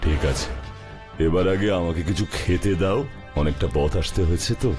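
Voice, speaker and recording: A man playing a sturdy, calm and stern superhero detective in a cartoon, speaking in a deliberate, inquisitive, commanding way. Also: sits low, over music, close to an everyday voice. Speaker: cartoon hero. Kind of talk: dramatized cartoon dialogue.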